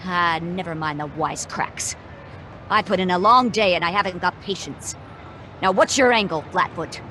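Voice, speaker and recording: A woman's voice: fairly low pitch, moderate pace, bright, forceful tone, a little hiss or hum. A woman playing a tough, burly health club owner and former wrestler, brusque and no-nonsense, in a detective audio drama. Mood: threatening.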